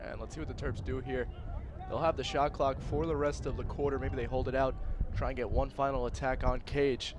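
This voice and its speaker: A male color commentator talks in a mid-pitched voice during a live game. His delivery is conversational and analytical. Outdoor background noise is clearly audible behind him. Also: little pitch movement, brisk.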